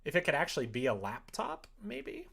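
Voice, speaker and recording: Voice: mid-range voice, huge pitch swings, brisk, gravelly, fairly bright tone, slightly rough. A streamer chatting casually and informally, often acting out little imagined exchanges in conversation. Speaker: streamer chatting while making a visual novel. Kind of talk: casual livestream chat.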